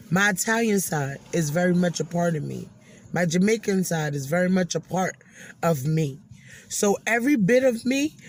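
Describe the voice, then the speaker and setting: A woman's voice: on the low side, slight background noise. A woman hosting opinionated commentary, speaking in an emphatic, scolding manner and repeating phrases for stress. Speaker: host of a commentary and reaction channel. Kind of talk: opinionated social commentary, addressing an absent person.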